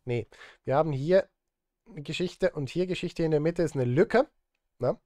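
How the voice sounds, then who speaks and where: A man's voice: on the high side, moderate pace, a little mellow, dry recording. Dry, close-miked man. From Switzerland. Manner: self-deprecating, joking asides. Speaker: streamer and film composer. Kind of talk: casual live-stream chat while composing. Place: indoor streaming setup.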